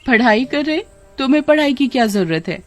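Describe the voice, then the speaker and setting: A woman's voice: high voice, expressive, a little fast, faint music behind, voice pushed up. A woman voicing a scheming stepmother who puts on doting, coaxing sweetness in a cartoon story. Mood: sugary, coaxing. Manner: coaxing, sweet-talking, falsely wounded. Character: scheming stepmother putting on sugary, doting affection.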